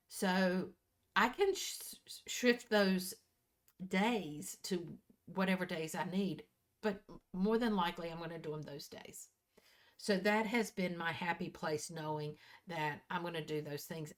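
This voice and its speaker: A woman in her early sixties with a voice a little lower than most women's. She talks casually and candidly, as a vlogger does to regular viewers. Her delivery is folksy, and she repeats and corrects herself as she goes. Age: early 60s. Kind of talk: casual personal chat to viewers.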